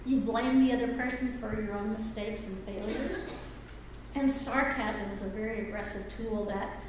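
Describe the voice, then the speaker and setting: A woman's voice: a little high, fairly even intonation, normal speed. A woman speaking at a women's seminar, teaching in an engaging, anecdotal way with self-deprecating humor. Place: seminar meeting room. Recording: very echoey room with background noise.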